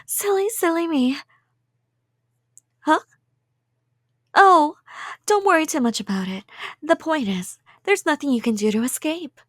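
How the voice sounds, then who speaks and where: High-voiced, sweetly menacing yandere girl, acted by a woman. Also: animated, measured pace, pauses often, a bit bright, dry recording, studio quality. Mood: possessive, unhinged. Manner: rambling, giggly, coy, threatening in a sweet tone. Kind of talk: intimate ASMR roleplay acting.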